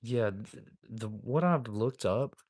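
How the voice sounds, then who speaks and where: A man's voice: fairly low pitch, expressive, slow, a little mellow, dry recording, clean studio sound. A man in his thirties chatting casually on a live stream while he builds a model kit, laid-back and rambling, calling viewers dude and man. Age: 30s.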